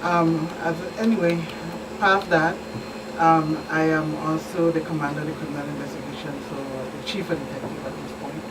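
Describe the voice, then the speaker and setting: A woman's voice: low-pitched, little pitch movement, normal speed. A middle-aged woman with a Virgin Islands Caribbean accent, explaining things as a police expert guest in a talk show interview. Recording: distant mic, clearly noisy background.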